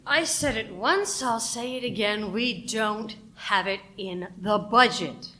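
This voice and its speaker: A woman playing a sharp-tongued, accusing radio station employee in a mystery radio play, pointed and cutting. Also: a little high, slow. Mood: snippy.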